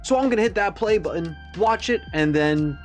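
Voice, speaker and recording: A man hosting a reaction video with casual commentary, stressing words theatrically and pausing for emphasis. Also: sits high, animated, faint music behind.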